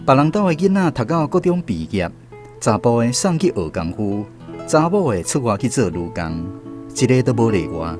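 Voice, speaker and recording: A male narrator with a Taiwanese accent tells a literary story for radio drama. His mid-pitched voice moves with lively, animated intonation over soft background music. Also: normal speed.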